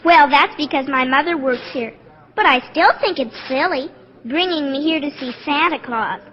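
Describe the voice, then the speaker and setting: A woman's voice: high voice, moderate pace, lightly acted. A female performer playing a precocious, polite, skeptical little schoolgirl in 1940s radio drama. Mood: doubtful, polite. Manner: precocious, polite, matter-of-fact. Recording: dull old 1940s radio recording.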